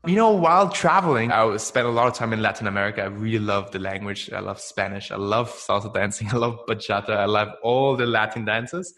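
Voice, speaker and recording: A young man from Austria whose first language is German, speaking as a podcast guest in a chatty, energetic way and often tagging "right?" onto his points. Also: on the low side, a little fast, few pauses. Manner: energetic, chatty, frequent "right?" tags and laughs. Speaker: guest, marketing founder. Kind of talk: casual podcast interview.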